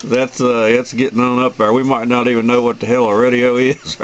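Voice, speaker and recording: A man's voice: medium pitch, steady tone, moderate pace, highs cut off. An older American man chatting casually on amateur radio in a folksy, rambling way. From United States. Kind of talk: casual chat over the radio. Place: at a home base radio station. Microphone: desk radio microphone in the room.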